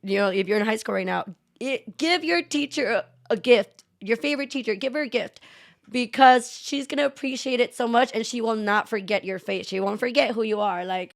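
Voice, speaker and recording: A woman's voice: a little high, brisk, creaky. A young woman from El Paso, a former teacher and guest on a podcast, talking casually, laughing often and swearing freely in a living room. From El Paso, Texas.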